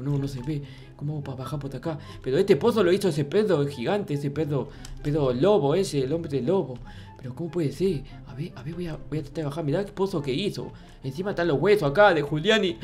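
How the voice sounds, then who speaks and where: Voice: medium pitch, darkish tone, natural voice. A performer acts out a naive little boy in a video game roleplay, timid and whiny. He stammers and repeats himself in childish worry. Music plays behind the voice. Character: naive, easily frightened childlike noob boy in Minecraft roleplay. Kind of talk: improvised in-character gaming roleplay.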